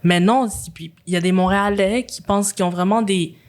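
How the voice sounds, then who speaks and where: A woman's voice: mid-range voice, brisk. A young woman from Quebec with a Quebec French accent, hosting a casual conversation and talking in long, rambling stretches full of fillers. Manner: animated, rambling with frequent fillers. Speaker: host.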